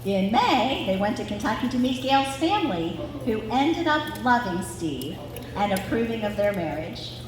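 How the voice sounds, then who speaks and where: A woman's voice: medium pitch, sing-song, moderate pace. A woman in her forties from Ohio reads a family story with chatty, sentimental asides at a live gathering. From Ohio, United States. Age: 40s. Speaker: daughter reading family story at anniversary event. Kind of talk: scripted family narration with informal asides. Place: large reverberant room at a live gathering. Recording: echoey hall, distant, clearly noisy.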